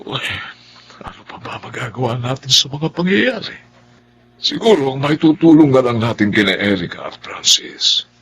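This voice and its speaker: Animated, concerned older Filipino man. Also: mid-range voice, normal speed, slight background noise. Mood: concerned, resigned. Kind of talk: Filipino radio drama acting.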